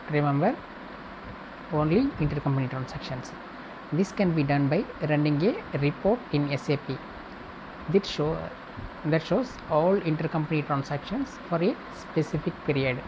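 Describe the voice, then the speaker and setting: A woman with a low voice teaches in a step-by-step tutorial style. She speaks in short, halting segments and often restates her phrases. The recording has clear background noise and hum. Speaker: accounting tutor. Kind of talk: step-by-step tutorial, reading points and explaining.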